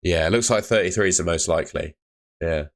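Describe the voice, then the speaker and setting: Low-voiced man going hoarse. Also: fast. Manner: laid-back, chatty, reads and answers the live chat. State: losing his voice. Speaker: streamer. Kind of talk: live stream chat with viewers.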